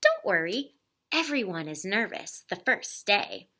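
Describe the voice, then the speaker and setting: A woman's voice: mid-range voice, big pitch swings, measured pace, sharp tone, heavily acted. A woman voicing a gushing, bustling female school principal in a storybook, with a commanding manner. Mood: reassuring. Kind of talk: storybook character voice in a read-aloud.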